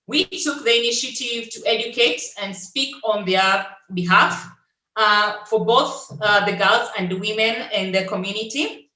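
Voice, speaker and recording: A woman from Kenya, presenting on a video call in a halting way, with many fillers and restarts. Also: medium pitch, bright, forceful tone, echoey room, rough recording. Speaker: webinar presenter.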